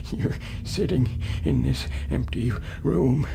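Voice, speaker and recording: A man's voice: mid-range voice, animated, slow, vocal fry, warm tone, exaggerated voice. An actor playing a lonely old man stranded on Mars in a radio drama, agitated and stammering, his voice cracked and quavering. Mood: stunned, brooding. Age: older. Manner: agitated, stammering, muttering to himself.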